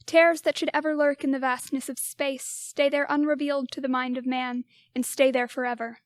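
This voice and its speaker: A woman's voice: high-pitched, little pitch movement, fairly quick, dry recording, close to an everyday voice. A teenage girl playing a grave, foreboding male scientist and father in an amateur radio play.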